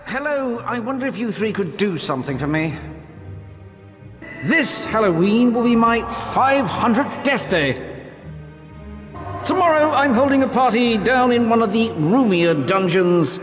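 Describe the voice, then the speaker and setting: A genteel old British ghost, very high in voice, fussy and eager to please. The game performance carries a long ghostly hall reverb, with faint music behind. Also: male voice, expressive, measured pace. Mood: hopeful, courteous. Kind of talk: video game cutscene acting.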